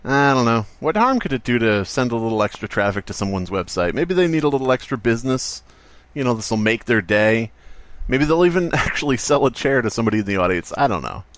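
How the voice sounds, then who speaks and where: A man's voice: mid-range voice, big pitch swings, brisk. A man co-hosting a podcast in casual, joking chat. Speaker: podcast co-host.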